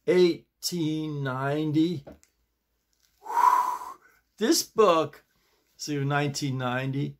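An American man in his sixties chatting casually to camera in a halting, digressive way, often repeating his phrases. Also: mid-range voice, gravelly. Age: 60s. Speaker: vlogger. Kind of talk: rambling casual chat to camera. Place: small home office, indoors.

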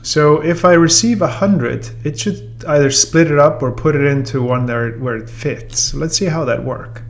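A man in his thirties giving a relaxed software tutorial, talking through the steps with plenty of fillers. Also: fairly high pitch, moderate pace, a little hiss or hum. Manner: relaxed and explanatory, with many fillers. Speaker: software trainer. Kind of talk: casual screen-recorded software tutorial. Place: room with a brick wall and whiteboard, then voice-over on screen recording.

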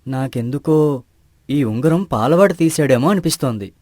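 A man voicing a level-headed village friend who asks patient questions in an animated moral story, reassuring and inquisitive. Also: medium pitch, normal speed, no echo, studio quality, natural voice.

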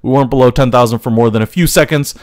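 A man's voice: medium pitch, rapid-fire, dry recording, clean studio sound, close to an everyday voice. An actor playing a brash, self-assured young male navy fighter pilot in a film. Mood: defiant. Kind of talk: 1980s film acting.

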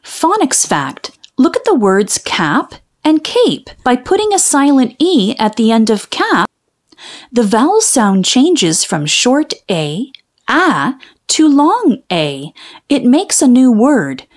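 A woman reading a children's phonics storybook aloud in a careful, deliberate way, stretching out key sounds. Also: a little high, very animated.

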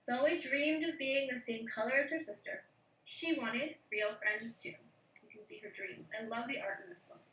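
A young woman, an early childhood educator, reading a picture book aloud to children over a video call from a room at home, gentle and expressive. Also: a little high, moderate pace, slight background noise. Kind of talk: read-aloud storytelling for children. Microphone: video call from a laptop. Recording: echoey room, distant laptop mic.

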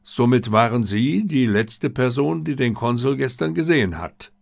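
A man voicing a shrewd, composed detective who speaks in a brisk, courteous, probing manner. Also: mid-range voice, lively intonation, vocal fry.

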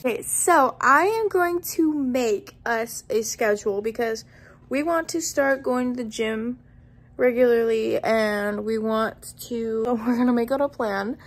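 High, creaky-voiced young American woman vlogging. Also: measured pace. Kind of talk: casual vlog chat. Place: indoors at home, living room and kitchen. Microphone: phone or camera at arm's length. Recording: noticeable room noise from handheld camera.

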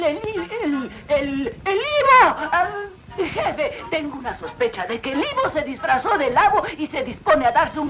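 A performer voicing a small, excitable, tearful stammering lamb in a Latin American cartoon dub. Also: high-pitched, poor audio. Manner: frantic, stuttering, tearful. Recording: old cartoon soundtrack with music.

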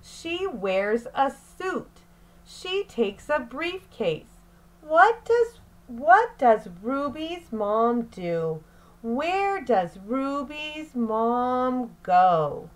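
Very slow-paced woman reading to children. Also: high voice, very animated, poor audio. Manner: deliberate, phrase-by-phrase delivery for young children. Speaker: teacher reading aloud. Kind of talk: slow, expressive children's read-aloud lesson.

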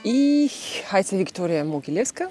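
A woman's voice: mid-range voice, big pitch swings, a little slow, loud music behind the voice. A young woman from Ukraine, sitting in an outdoor garden and thoughtfully explaining the garden's creative projects, with many fillers. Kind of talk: documentary interview.

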